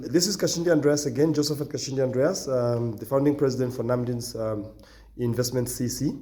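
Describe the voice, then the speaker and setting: Hoarse man, halting and insistent. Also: medium pitch, warm tone. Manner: halting and repetitive, insistent, stern warnings. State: hoarse, nearly lost voice. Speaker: company founder addressing job applicants. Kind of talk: recorded video announcement.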